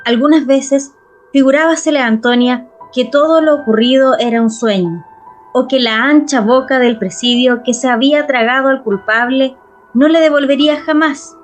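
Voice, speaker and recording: A woman narrating a literary story in a dramatized radio reading. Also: a little high. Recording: music underneath.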